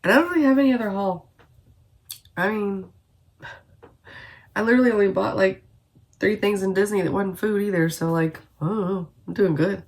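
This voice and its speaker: A woman's voice: mid-range voice, moderate pace, long pauses. A woman with a stuffy head cold, chatting casually and rambling, with frequent little laughs, as a cross-stitch vlogger. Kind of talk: casual chat to camera.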